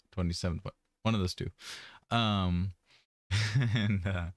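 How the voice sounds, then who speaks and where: A man's voice: deep voice, smooth, no echo, clean studio sound. A man giving a casual personal update, rambling and hesitant, often trailing off mid-thought. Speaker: vlogger.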